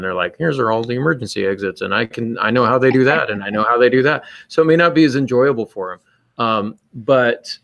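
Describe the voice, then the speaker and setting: A man's voice: fairly low pitch, fairly bright tone. A man hosting a podcast, casually explaining his views on writing in a conversational way. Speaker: podcast host and writer.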